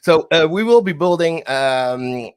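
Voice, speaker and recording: A man's voice: fairly high pitch, animated, measured pace, clean tone, dry recording, studio quality. A man with a French accent, a developer advocate talking casually as a guest on a live stream, full of fillers and restarts. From Netherlands and France. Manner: hesitant, many fillers and repeated words, laughs easily.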